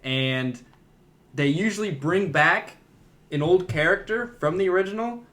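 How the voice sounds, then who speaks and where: A man talking casually as a podcast host in a relaxed back-and-forth movie discussion. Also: high voice, unhurried.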